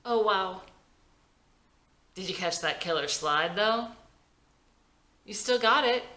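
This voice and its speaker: A woman's voice: mid-range voice, expressive, slow, bright, forceful tone. A woman voicing an emotional, loyal young male buddy with a casual, eager manner in a drama script reading. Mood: impressed, relieved.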